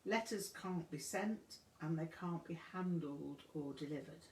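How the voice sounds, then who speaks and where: A woman voicing a relaxed, witty teenage English boy with an easy, thoughtful charm. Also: low-pitched, a little slow, echoey room, slight background noise.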